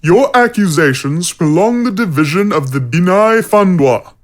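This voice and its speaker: An actor playing a middle-aged warrior-monk villain in a fantasy audio drama, calm, persuasive and condescending as he preaches. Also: sits high, moderate pace. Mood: smooth, persuasive.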